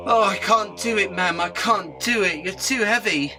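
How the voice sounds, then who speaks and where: Earnest, bright-voiced little fly. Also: male voice, sits high, normal speed, soft background music. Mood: straining. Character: small earnest fly, bargaining prophet, polite but defiant. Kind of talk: illustrated radio drama acting.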